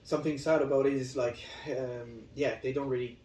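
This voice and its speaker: A man's voice: mid-range voice, steady tone, fairly quick, a little mellow. French-accented man, echoey and off-mic. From France. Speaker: podcast guest, actor and model. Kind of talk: casual chat. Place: room in his home. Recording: echoey room, distant mic, faint noise.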